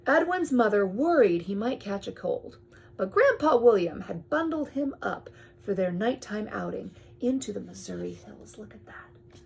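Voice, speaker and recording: A woman reads a picture book aloud to a young child in drawn-out phrases, often dropping to a hushed tone and adding chatty asides. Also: mid-range voice, very animated, vocal fry, slight background noise. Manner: addresses the child with questions and pointers. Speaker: storyteller reading to a young child.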